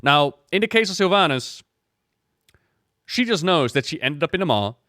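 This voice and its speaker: A man's voice: a little high, moderate pace, dry recording. Dry-sounding man doing casual reaction commentary. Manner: animated retelling, acting out quotes, repeating words for emphasis. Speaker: gaming video host.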